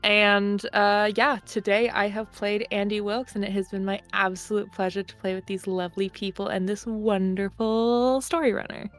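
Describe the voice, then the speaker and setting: A woman's voice: medium pitch, level tone, moderate pace, few pauses. A woman talking casually as a player in a tabletop roleplaying game. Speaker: player and performer in tabletop games. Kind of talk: casual game talk and introductions.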